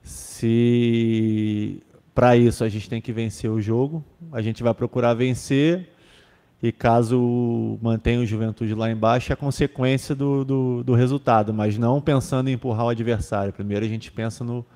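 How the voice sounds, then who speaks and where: A man's voice: medium pitch, fairly even intonation, normal speed, dark tone, big-room sound. A young man, a football defender, answering press questions in a casual way, with many fillers and restarts, into a table microphone. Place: club press room with sponsor backdrop. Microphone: table microphone on stand.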